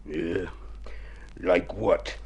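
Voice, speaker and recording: An actor playing a sly, bullying swindler in a radio drama, with an oily, sneering manner. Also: male voice, deep voice, fairly even intonation, very slow, darkish tone, husky, dull audio, full character voice. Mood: oily, wary. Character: sly, bullying male swindler who turns craven.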